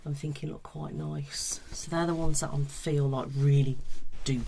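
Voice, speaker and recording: A woman giving a soft-spoken ASMR consultation in an unhurried murmur that slips in and out of a whisper. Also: low voice, steady tone, gravelly, slight background noise. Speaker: colour analysis consultant.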